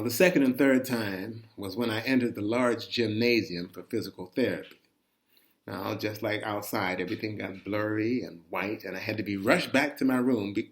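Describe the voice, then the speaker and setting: A man reading a first-person chronicle aloud as a dramatic storyteller, conversational and expressive, with deliberate emphasis on his phrasing. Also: mid-range voice.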